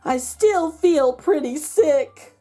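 A woman's voice: sits high, expressive, slow pace, dark tone, faint music behind. A woman voicing a self-pitying, melodramatic dog from a storybook, pleading and stretching out words theatrically. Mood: pitiful. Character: melodramatic, self-pitying male dog writing letters home.

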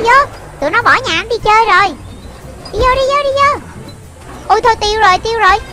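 A childlike voice giving excited running commentary on a video game for children, full of exclamations and repeated short phrases, with loud music behind it. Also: very high voice.

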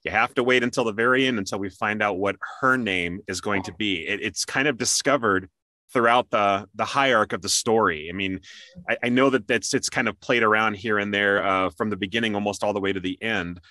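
A man's voice: on the low side, fairly quick, pushed and bright, dry recording. A man hosting a casual interview, talking in a chatty, conversational way. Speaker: interview host and amateur voice actor.

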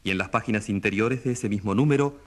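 A man's voice: fairly low pitch, fast, lightly acted. A man acting a radio studio announcer, reading scripted profiles formally and with emphasis in a radio drama. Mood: formal, emphatic.

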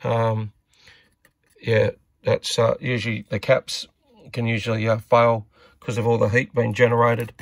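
Australian man with a creaky voice. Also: medium pitch, flat delivery, measured pace, pauses often, no room sound. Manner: hesitant, thinking aloud as he works. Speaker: radio repair hobbyist presenter. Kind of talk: casual unscripted repair walkthrough.

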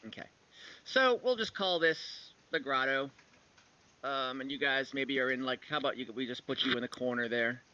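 A man's voice: medium pitch, moderate pace, pushed and bright. A man running an online tabletop game over a video call, narrating casually and off the cuff in a hesitant, informal way. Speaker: game master. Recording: dull, lo-fi online call audio.

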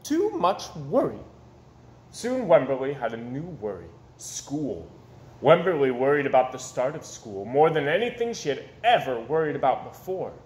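A man in his thirties reads a children's picture book aloud expressively, with dramatic pauses and emphasis. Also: medium pitch, very animated, a little mellow. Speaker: storyteller reading aloud to children. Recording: roomy echo, some background noise.

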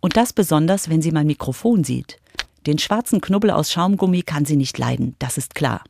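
A woman's voice: low-pitched, expressive, normal speed, creaky, a little mellow. A woman reporter reading scripted narration for a children's radio feature.

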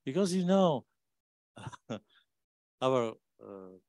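An older man speaks slowly into a table microphone at a conference discussion. He lands heavily on key words, and his voice rises in emphatic bursts. The sound is dry, with no room echo. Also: medium pitch, very animated. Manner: emphatic, rising loud stresses on key words. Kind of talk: spontaneous academic discussion.

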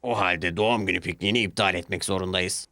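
A man's voice: low-pitched, steady tone, fast talker, creaky, bright, forceful tone, dry recording, studio quality, heavily acted. A man voicing a stern, impatient railway controller in a children's cartoon. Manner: stern, commanding.

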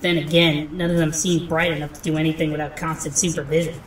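A woman's voice: low-pitched, level tone, quick pace, slight background noise, lightly acted. A woman playing a troubled, determined young comic book heroine thinking aloud, recorded with echo at a distance. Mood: musing. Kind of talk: comic book dub acting, inner monologue. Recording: echoey, distant from the mic.

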